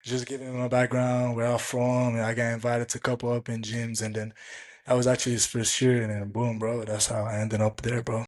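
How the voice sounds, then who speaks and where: A young man from Africa whose first language is French, co-hosting a podcast and telling his story in a casual, animated way. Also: mid-range voice, brisk. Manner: animated, acts out quoted dialogue in a raised voice. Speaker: podcast co-host.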